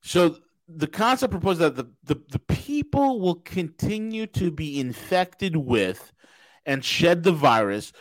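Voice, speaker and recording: A man with a fairly high voice rants loudly and mockingly, swearing freely. His voice sounds close and dry, with no room around it. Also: no room sound, rough recording. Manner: loud, profane, mocking rants. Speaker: podcast host. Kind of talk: casual talk show banter.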